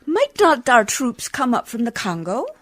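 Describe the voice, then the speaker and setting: A prim, determined lady played by a woman in old-style radio drama. Her voice is fairly high and very expressive, with formal, precise wording and a persistent, questioning manner. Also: normal speed. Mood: prim, firm. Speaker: actor in a community radio theatre.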